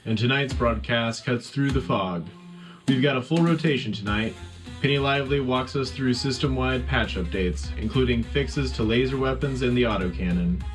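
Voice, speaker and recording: A man's voice: medium pitch, lightly acted. A man playing a wartime radio anchor, announcing a sci-fi broadcast in a dramatic, punchy way. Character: urgent male wartime radio news anchor. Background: guitar music.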